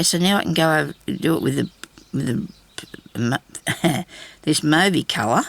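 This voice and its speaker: An older woman with a deep, raspy voice, chatting casually and laughing at herself while she does craft work. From Australia. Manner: chatty, self-deprecating, laughs often. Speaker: craft vlogger.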